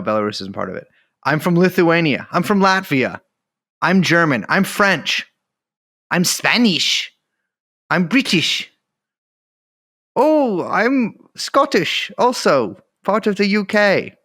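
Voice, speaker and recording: Profane joking man co-hosting a podcast. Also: on the high side. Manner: profane, deadpan bits, rushes in bursts. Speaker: podcast co-host. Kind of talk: casual joking chat.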